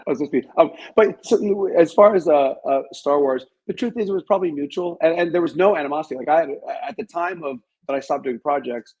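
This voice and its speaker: A man's voice: a little high, fast, few pauses, a little mellow, slightly rough, highs cut off. Reflective man telling stories in an interview. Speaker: interview guest, author. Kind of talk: conversational storytelling.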